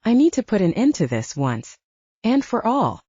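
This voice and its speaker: A woman's voice: medium pitch, very animated, normal speed, mellow, slightly rough, dry recording, lo-fi sound, voice pushed up. A woman voicing a teasing, determined male hero in a werewolf audiobook, with casual, wry banter. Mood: firm. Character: teasing, determined man, first-person hero of werewolf story. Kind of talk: audiobook character acting.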